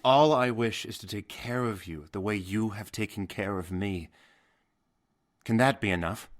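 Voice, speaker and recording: An actor playing a devoted, honest young sailor son in an audio drama, speaking in an earnest, measured way. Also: male voice, fairly low pitch, lightly acted.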